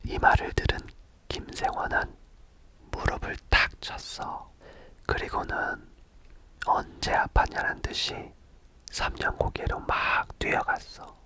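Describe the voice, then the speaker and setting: A man softly whispering a bedtime folk tale in a slow, hushed manner. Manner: soft slow whisper throughout. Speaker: storyteller.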